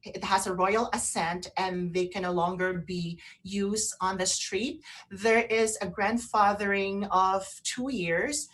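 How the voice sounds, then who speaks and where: A woman co-hosting a radio show in casual chat, halting and often repeating herself. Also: mid-range voice, steady tone, fairly bright tone, rough recording.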